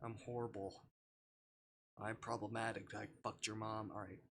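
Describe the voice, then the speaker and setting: A man's voice: lowish voice, little pitch movement, moderate pace, a bit bright, a little hiss or hum. A man hosting a casual gaming livestream, rambling and often repeating words and phrases in singsong bursts. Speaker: livestream host.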